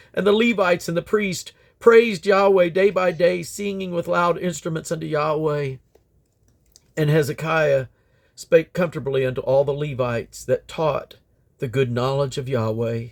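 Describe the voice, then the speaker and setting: A man's voice: a little high, creaky. A man reading scripture aloud as a Bible reader, in a solemn, declamatory manner.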